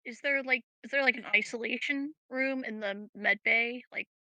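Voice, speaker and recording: A woman's voice: fairly high pitch, moderate pace, bright, forceful tone, no room sound, lo-fi sound. A woman playing a tabletop game, chatting casually with loose, filler-heavy phrasing. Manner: casual, peppered with "like".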